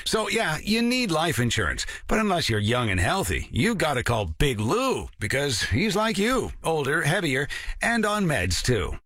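A man's voice: fairly high pitch, wildly swooping pitch, a little slow, a bit bright, dry recording, studio quality. A man reading a radio ad in a wry, chummy, conversational way. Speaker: ad announcer.

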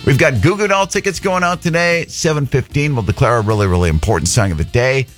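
A man hosting a morning radio show, chatty and upbeat, doing energetic live reads. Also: medium pitch, fast, soft background music. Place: radio studio.

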